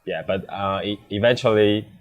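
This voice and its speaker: Low-voiced young Taiwanese man, halting speech. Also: fairly even intonation, dull audio. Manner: halting, hesitant non-native English. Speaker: bartender, competition contestant. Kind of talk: casual interview answers.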